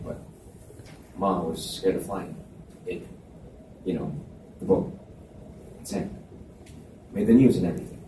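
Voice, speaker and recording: A man's voice: deep voice, pitched below the performer's own voice, lightly acted. A man as an aloof young student, echoey room. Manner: quiet, clipped, stiff. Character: reserved young man student, aloof, arrogant, awkwardly direct. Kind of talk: dramatic reading of romance novel dialogue. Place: library event space, indoors. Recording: echoey room, distant mic, faint noise.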